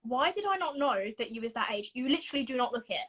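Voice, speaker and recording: A young woman hosts a casual live interview over a video call. Her voice sits high and bright, and she talks in a loose, giggly way with plenty of ums. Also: expressive, quick pace. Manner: chatty, giggly, lots of filler ums. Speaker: podcast host.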